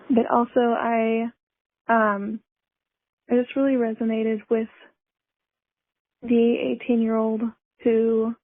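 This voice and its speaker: A woman's voice: on the high side, dry recording. A woman caller leaving a casual, confessional voicemail over the phone, hesitant and reflective. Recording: dry, narrow-band phone voicemail.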